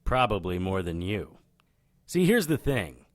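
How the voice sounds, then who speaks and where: A lowish, lively male voice for a cocky occult private eye. He is wry and irreverent, tossing off wisecracks in noir audio drama style. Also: measured pace. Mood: cocky probing. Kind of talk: audio drama dialogue and first-person narration.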